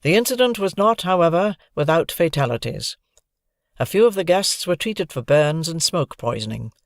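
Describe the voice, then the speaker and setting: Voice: mid-range voice, very animated, moderate pace, no echo, clean studio sound. A narrator as a formal TV reporter. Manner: formal broadcast delivery. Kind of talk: audiobook character voice.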